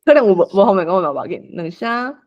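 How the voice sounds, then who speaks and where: A Taiwanese-accented host with a very lively, swinging pitch in a medium register. The delivery is casual and coaxing, as in an online group organizing who reads which role. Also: quick pace, dull audio. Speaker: host assigning roles for a script read-along. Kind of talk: casual online meeting chat.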